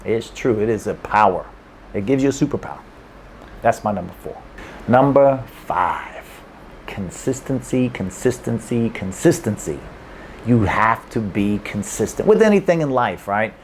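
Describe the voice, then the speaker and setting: Guy with a lowish voice chatting thru his study mistakes, repeats himself a lot, faint room hum behind. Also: expressive, normal speed. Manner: rambling and repetitive, with many restarts and chuckles. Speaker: language-learning vlogger. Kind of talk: casual talk to camera. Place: room in Hong Kong.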